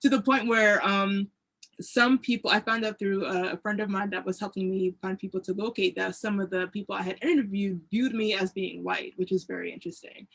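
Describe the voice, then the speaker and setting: American woman researcher, monotone, measured panel talk. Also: medium pitch, gravelly, husky, rough recording. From United States. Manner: thoughtful, frequent fillers. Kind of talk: academic panel discussion.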